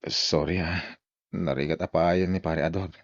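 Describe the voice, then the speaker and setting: A man's voice: sits low, fairly even intonation, unhurried, dry recording, dull audio. A man with an Ilocano accent playing a hard-drinking husband in an audio drama, boisterous with friends, belligerent or sheepish at home.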